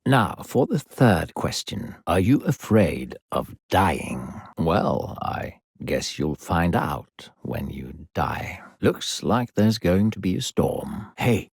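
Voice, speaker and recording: A sinister video game demon narrator speaks in a deep, husky voice. The delivery swoops theatrically from sugary coaxing to controlling menace, with gravel in the tone and a close, dry sound. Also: male voice, very animated, slow, no room sound, exaggerated voice. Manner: falsely sweet, theatrical, condescending, controlling.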